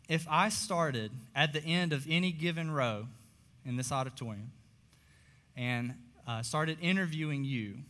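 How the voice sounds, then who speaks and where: A young man, a pastor, preaching a sermon in a church auditorium, his voice slightly hoarse, earnest and full of "uh" fillers. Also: fairly high pitch, fairly bright tone. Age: young adult. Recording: long reverb of a large hall.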